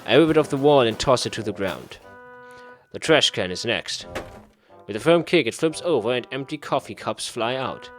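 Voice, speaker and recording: A man's voice: mid-range voice, lively intonation, moderate pace, faint music behind. A man giving a casual playthrough commentary, reading game text aloud and muttering to himself in repeated phrases. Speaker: gaming video host.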